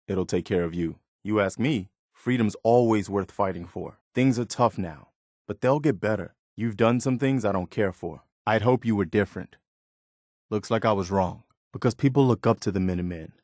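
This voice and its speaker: A man's voice: lowish voice, no room sound, studio quality, natural voice. A man playing an earnest, idealistic and loyal militia soldier in a video game, speaking plainly and conversationally. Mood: warm, sincere, then disappointed, stern. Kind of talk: video game companion dialogue, naturalistic acting.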